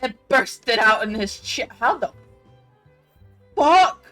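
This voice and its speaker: Bright-voiced young woman chatting over gameplay. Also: fairly high pitch, slow. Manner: crude joking, sweary, excitable reactions. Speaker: gamer commentator. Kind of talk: casual gameplay chat with a friend. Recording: home gameplay recording with music underneath.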